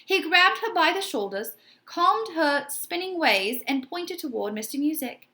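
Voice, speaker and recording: A woman narrates a children's story in a high voice. Her reading is very animated and expressive, and she pitches questions to young listeners like a teacher. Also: unhurried.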